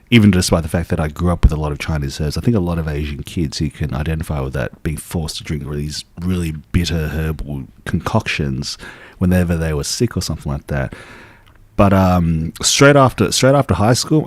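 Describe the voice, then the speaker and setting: A young man, born in Taiwan, working as an acupuncturist and telling his story in a relaxed, casual interview full of restarts. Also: sits low, dry recording. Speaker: acupuncturist guest.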